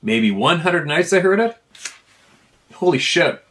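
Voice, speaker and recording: A man's voice: a little high, sing-song, unhurried, fairly bright tone. A man reading a first-person account aloud in an informal storytelling style. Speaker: narrator reading listener emails.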